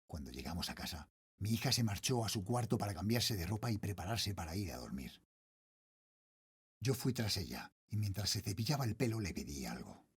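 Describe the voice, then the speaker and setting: A man playing a frightened father and night guard, telling his horror story in a Spain accent with tense, dramatic delivery and hushed phrase endings. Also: sits low, sing-song, brisk, breathy edge, full character voice. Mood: uneasy, bewildered. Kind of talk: scripted first-person horror narration, audio drama.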